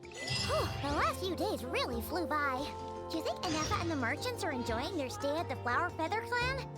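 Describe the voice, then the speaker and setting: A woman voicing a small, excitable and curious fairy girl companion in a video game. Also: extremely high pitch, normal speed, loud music behind the voice. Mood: chirpy, curious.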